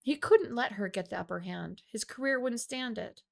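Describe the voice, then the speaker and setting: A woman reading her own fiction aloud as an expressive storyteller. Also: on the high side, normal speed, gravelly. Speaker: author reading own audiobook. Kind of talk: expressive scripted narration of fiction.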